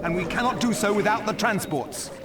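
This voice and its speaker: An actor playing a noble, resolute elven naval commander in a video game, speaking in a formal, declamatory way. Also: male voice, high voice, brisk, far from the mic, heavy background noise, close to an everyday voice. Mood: commanding, instructive. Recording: loud music over the voice. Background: loud game music.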